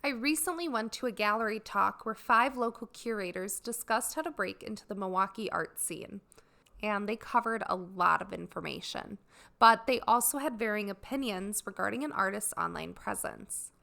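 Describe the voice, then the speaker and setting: A woman hosting a solo podcast for artists, sounding raspy and dry as she gets over a cold, talking in an earnest, explanatory way. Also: medium pitch, moderate pace, pushed and bright. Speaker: podcast host.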